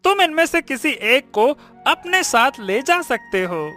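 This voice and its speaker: Bright, slow, reassuring parent bird voiced by a woman. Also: high-pitched, very animated, faint music behind, full character voice. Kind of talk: cartoon storybook acting.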